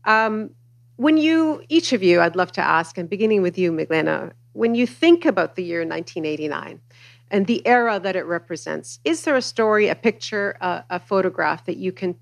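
A woman's voice: lowish voice, expressive, normal speed, creaky. Woman moderating a live panel. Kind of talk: moderating a public panel discussion.

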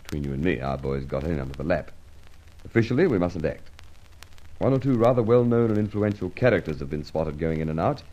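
Low, mellow, brisk police inspector. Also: male voice, lively intonation, poor audio. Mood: businesslike, confiding. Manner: brisk, businesslike, confiding. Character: brisk, no-nonsense Scotland Yard police inspector. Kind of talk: old-time radio drama acting.

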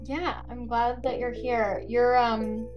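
Slow-talking woman podcast host over music. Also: fairly high pitch, level tone, dull audio. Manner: halting, with many fillers and restarts. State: lost voice, whispering. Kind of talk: casual podcast interview.

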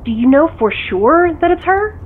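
An actress playing a skeptical, teasing confidante in a naturalistic audio drama, wry and questioning, heard through a phone call. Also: female voice, extremely high pitch, big pitch swings, normal speed. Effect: phone call filter. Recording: dull phone call over vehicle hum.

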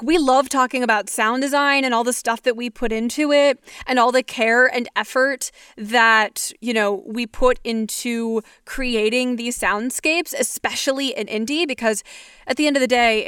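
A woman's voice: on the high side, fairly even intonation, normal speed, a bit bright, smooth, no echo, clean studio sound. A woman hosting a podcast, asking her guests questions in an easy conversational way. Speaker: podcast host. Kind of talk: interviewing.

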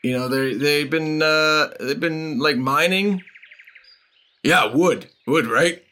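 A man voicing a boozy, grumbly old hermit in a tabletop game, rambling and halting as he talks. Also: a little high, sharp tone. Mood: rambling, evasive.

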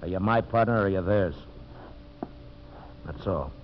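Casting: male actor playing unruffled villainous logging partner, lowish voice, measured, condescending, on muffled vintage radio. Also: natural voice. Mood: cool, warning. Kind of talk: 1950s radio drama acting. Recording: muffled, noisy 1950s radio recording.

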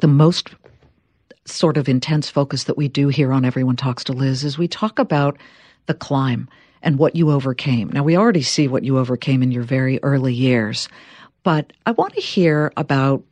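A woman's voice: rumbling low, a little mellow, dry recording. A woman works as a podcast host, asking questions in a conversational interview style. The recording is dry and close, with very little room sound.

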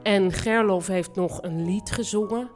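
A woman's voice: medium pitch, unhurried, darkish tone, soft background music, lightly acted. An actress playing an earnest, self-righteous young woman in a live audio drama, narrating and speaking with wry sincerity. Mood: wry, storytelling.

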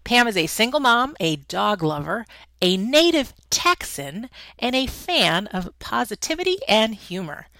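A woman's voice: fairly high pitch, sing-song, measured pace, a bit bright, dry recording. A woman hosting an interview podcast, upbeat and emphatic as she introduces and questions her guest. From Connecticut. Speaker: podcast host.

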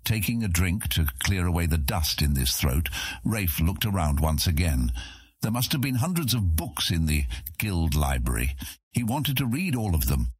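A man reads fantasy fiction as a dramatic storyteller. His low voice has a husky grain and swings widely in pitch. The delivery is scripted and expressive. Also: normal speed, no echo. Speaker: audiobook narrator.

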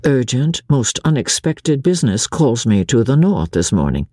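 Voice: mid-range voice, very animated, moderate pace, warm tone, slightly rough, no room sound, studio quality. A reader voicing a cold, aloof aristocratic husband who speaks in a formal, drawling manner. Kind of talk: audiobook character voice.

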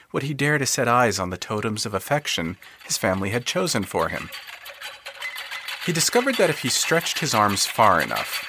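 A man narrating scripted literary fiction in a dramatic, wry storytelling manner. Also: lowish voice. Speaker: narrator of an audio fiction podcast.

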